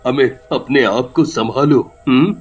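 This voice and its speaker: Man playing easygoing husband, elder brother, composed, slow-paced, story drama. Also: mid-range voice, breathy edge, lo-fi sound, soft background music. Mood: calm concern.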